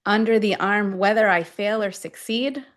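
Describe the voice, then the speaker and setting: A woman guides a self-affirmation session at a medium pitch. She speaks in short, deliberate phrases and leaves long pauses between them, in the style of a guided meditation.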